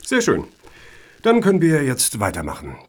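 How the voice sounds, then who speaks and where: An actor playing a wry, officious foundation board member in a satirical radio play, with an ironic, formal way of talking. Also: male voice, medium pitch, wildly swooping pitch, moderate pace, slight background noise.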